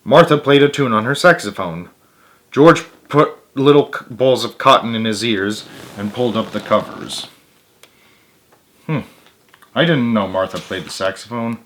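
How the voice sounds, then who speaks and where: A man's voice: mid-range voice, a bit bright, slight background noise. A man reading a picture book aloud as the host, with chatty asides of his own. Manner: chatty, interjects own comments and chuckles. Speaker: story reader, host of a read-aloud series.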